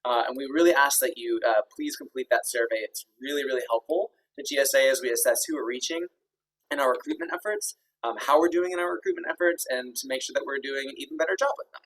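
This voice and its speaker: A man in his thirties with a somewhat husky voice hosts a virtual info session over a video call. He sounds upbeat and friendly, with plenty of ums and uhs. He is a little distant from the mic. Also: medium pitch, expressive, fast talker, a bit bright. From Kentucky. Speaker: executive director hosting an info session.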